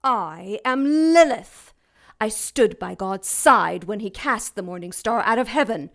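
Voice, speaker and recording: Ancient female demon, haughty, vengeful, contemptuous, mid pitch, radio drama. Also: expressive, a little slow, vocal fry, a bit bright. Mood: menacing. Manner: grandiose, menacing, contemptuous. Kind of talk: audio drama acting.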